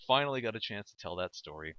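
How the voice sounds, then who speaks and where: Male co-caster, deep but bright voice, chatty and halting, laughs a lot; muffled lo-fi mic. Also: very animated, noisy background. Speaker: game caster. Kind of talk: casual strategy game commentary.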